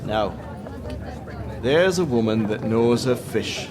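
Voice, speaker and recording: A man's voice: mid-range voice, lively intonation, slow, a crowd in the background. A man playing a cheery, joking Scottish fishmonger bantering in a radio play. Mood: admiring. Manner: jokey, salesman-like banter. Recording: busy street bustle behind voice.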